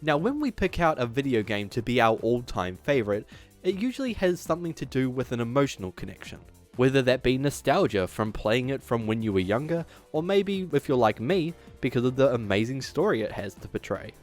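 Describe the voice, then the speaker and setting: A man's voice: medium pitch, sing-song, brisk, faint music behind. A man hosting a video essay, reading a script with emphatic delivery and dropping in casual asides.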